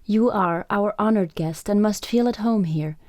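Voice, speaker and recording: An actress plays a gracious Japanese innkeeper in a radio drama. Her voice is mellow and soft-edged, recorded dry and close, with formal, measured courtesy. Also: medium pitch, no echo, natural voice. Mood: soothing. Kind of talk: radio play acting.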